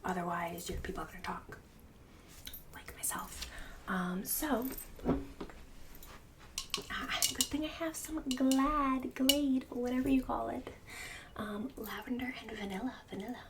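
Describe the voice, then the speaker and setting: A woman's voice: on the high side, unhurried, pauses often, far from the mic, noisy background. A woman playing a snobbish, mean suburban book club hostess in an ASMR roleplay, partly whispered, with condescending, fake-polite emphasis. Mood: disgusted condescension.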